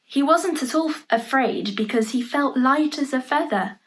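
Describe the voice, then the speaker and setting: A woman reading a picture book aloud for young children, playfully expressive, adding chatty asides and questions about the pictures, in a noisy home recording. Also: sits high, normal speed. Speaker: storybook reader for young children. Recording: noticeable background noise, home online recording.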